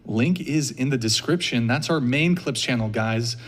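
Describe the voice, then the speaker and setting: A man hosting a podcast, running through show announcements briskly with deadpan jokes. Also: medium pitch. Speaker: podcast host. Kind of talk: rapid-fire show announcements.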